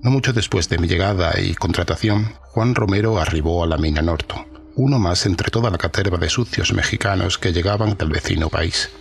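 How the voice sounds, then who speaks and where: Male actor as aging, secretive ex-officer in an audio horror tale: deep, creaky, formal, measured, music beneath. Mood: measured, reflective. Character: weary, secretive old former army officer recounting horror. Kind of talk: first-person audio horror storytelling. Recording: music under the voice.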